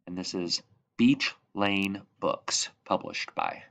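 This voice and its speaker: A man reading a children's picture book aloud in measured phrases that drop softly at the ends of sentences. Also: low voice, wildly swooping pitch, gravelly. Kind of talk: read-aloud storybook narration.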